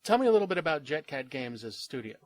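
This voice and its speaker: A man's voice: on the high side, very animated, very fast, darkish tone, no echo. A man hosting a gaming channel, opening with an upbeat greeting and interviewing a guest with eager reactions.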